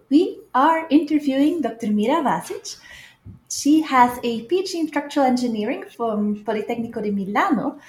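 A woman's voice: fairly high pitch, darkish tone. A woman hosting a podcast, introducing a guest and asking friendly questions with small restarts. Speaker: podcast host, professor. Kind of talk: podcast interviewing. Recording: echoey room.

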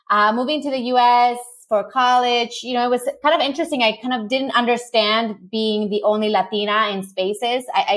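A woman's voice: on the high side, a bit bright. Chatty woman from Mexico, video call. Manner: chatty, many fillers and restarts. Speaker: guest, body positivity creator. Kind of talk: casual interview chat.

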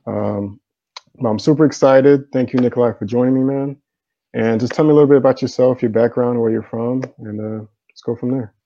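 A man's voice: medium pitch, steady tone, brisk, warm tone, muffled recording. A man hosting a live interview stream over a video call, casual and upbeat, with the odd um and man.

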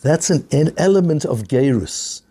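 Man, middle-aged, husky voice, dark tone, earnest Talmud class lecture, repeats phrases. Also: a little high, animated, moderate pace. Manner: earnest, repeats phrases for emphasis, Yiddish and Hebrew terms. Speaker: rabbi teaching a Talmud class.

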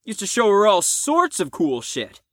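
A man's voice: high voice, huge pitch swings, moderate pace, dry recording, exaggerated voice. A man playing a cocky, crude fifteen-year-old boy in an audio drama, with a smug, slangy drawl.

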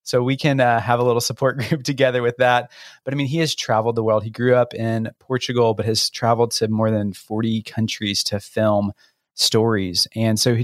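An American man with a fairly deep voice co-hosts in a dry, close-sounding recording. His way of talking is effusive and informal, as in a conversational interview show. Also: no echo. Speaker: podcast co-host.

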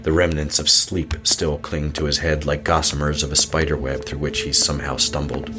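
A man narrating a scripted horror story in a deliberate, dramatic way. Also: extremely low pitch, flat delivery. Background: music under the voice.

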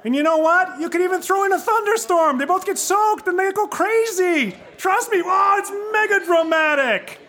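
Glib theatre adviser: high, swooping voice, flippant salesman's patter, condescending, comic audio play. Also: animated, normal speed, few pauses, sharp tone, heavily acted. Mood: exasperated. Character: pushy, glib male theatre pro giving advice. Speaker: actor. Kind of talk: comic podcast play dialogue.

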